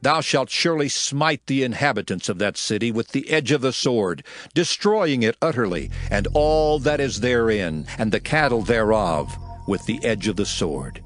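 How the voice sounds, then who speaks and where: A man's voice: mid-range voice, animated, moderate pace, few pauses. A man giving a solemn, declamatory dramatized reading of scripture in archaic English. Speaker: scripture reader. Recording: music behind the voice. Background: music.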